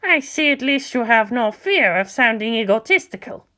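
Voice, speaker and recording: A woman's voice: a little high, animated, normal speed, bright, forceful tone. A woman voicing an old male monk, a sardonic teacher who asks pointed, challenging questions in a dramatised story. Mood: sardonic probing. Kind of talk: dramatised fan fiction reading.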